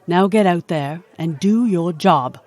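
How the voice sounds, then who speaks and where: An actress playing an imperious supernatural boss in an audio drama, formal and commanding with cool menace. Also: female voice, lowish voice, unhurried, a little mellow. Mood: coolly threatening. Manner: formal, commanding, coolly threatening.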